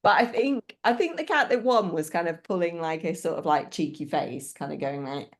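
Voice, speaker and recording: A woman's voice: on the low side, lively intonation, moderate pace. A woman in a band, chatting casually as a guest with lots of fillers and giggles. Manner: loud, enthusiastic storyteller. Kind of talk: casual interview chat.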